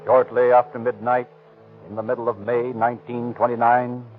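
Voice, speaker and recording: An American man reads as a 1930s radio announcer. His medium-pitched delivery is formal, polished and emphatic. The recording is tinny and narrow, with music behind him. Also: level tone, normal speed. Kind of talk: 1930s radio scripted sponsor read and narration.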